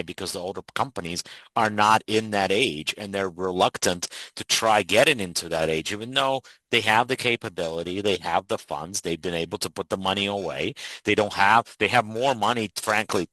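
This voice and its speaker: Man, podcast host, deep voice, bright forceful tone, dry close sound, earnest and persuasive. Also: no echo, low-quality recording. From Ukraine. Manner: earnest, persuasive, with frequent restarts. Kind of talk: casual interview chat.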